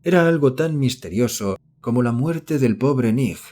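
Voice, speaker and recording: A man's voice: medium pitch, lively intonation, measured pace, dark tone. Man narrating a horror audiobook. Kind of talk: scripted narration of a horror novel.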